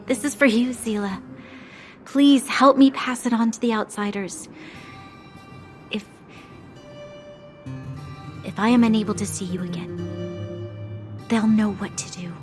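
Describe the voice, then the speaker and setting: A woman's voice: medium pitch, steady tone, unhurried, pauses often, darkish tone, soft background music, natural voice. A woman voicing an earnest, dutiful young noblewoman and officer in a video game, speaking formally and solemnly. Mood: solemn, resolute. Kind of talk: dramatic video game voice acting.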